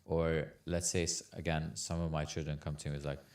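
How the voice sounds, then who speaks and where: A man's voice: rumbling low, little pitch movement, a little fast, a little mellow. A man from Lebanon, a guest on a casual podcast, talking earnestly, stumbling over repeated words and saying "bro" a lot.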